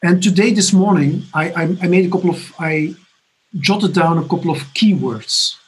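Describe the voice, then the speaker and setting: A man in his fifties from Belgium giving a personal recovery testimony from his apartment, halting and earnest with many restarts. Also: high-pitched, poor audio. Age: 50s. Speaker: recovery conference speaker. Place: home apartment.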